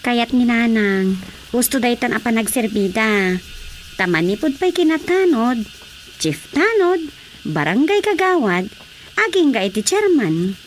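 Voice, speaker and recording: A high-voiced Ilocano village woman, chatty and concerned. Her pitch swings widely as she talks in radio drama style, with clear noise behind her. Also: very animated, a little slow. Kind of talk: Filipino radio drama acting.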